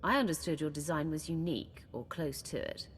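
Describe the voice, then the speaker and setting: A woman playing a steady, commanding leader giving orders in a game cutscene. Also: low voice, brisk, long reverb tail, soft background music.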